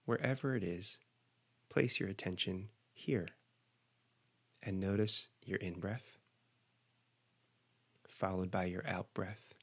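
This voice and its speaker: A man leading a guided meditation, giving measured, instructional cues with pauses between phrases. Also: low-pitched.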